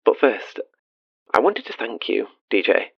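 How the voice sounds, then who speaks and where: A man's voice: on the low side, sing-song, unhurried, vocal fry, husky, dry recording, dull audio, full character voice. A man playing an awkward, earnest, haunted radio caller making a hesitant confession in an audio drama. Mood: earnest, self-deprecating. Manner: hesitant, self-deprecating, earnest.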